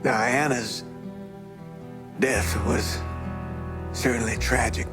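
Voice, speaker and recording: A man playing a solemn, reflective witness who recalls the past deliberately and haltingly, as in a documentary-style game scene. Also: mid-range voice, steady tone. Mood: somber, reflective. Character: somber, reflective man recalling a troubled friend. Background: guitar music.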